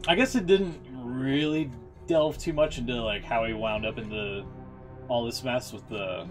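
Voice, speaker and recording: A man's voice: mid-range voice, moderate pace. A man giving casual, blunt live reaction commentary as a game streamer, in informal language. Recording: music playing behind the voice.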